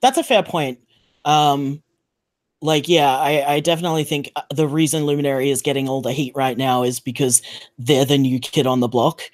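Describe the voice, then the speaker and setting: A panelist with a medium-pitched voice chats informally in a podcast discussion. The manner is conciliatory, with points carefully qualified along the way. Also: normal speed.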